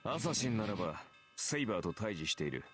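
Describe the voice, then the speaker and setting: A man's voice: on the low side, very animated. A man acting a cynical, confident warrior archer in a visual novel, with cool, sardonic delivery. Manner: cool, sardonic, deliberate phrasing. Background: game music.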